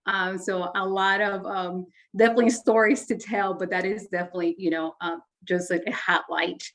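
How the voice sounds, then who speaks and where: A woman's voice: medium pitch, fairly even intonation, moderate pace, fairly bright tone. A woman in her forties from Honduras, a Spanish native speaker, talking in an earnest, reflective way with frequent fillers and restarts as an interview guest. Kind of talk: casual interview conversation.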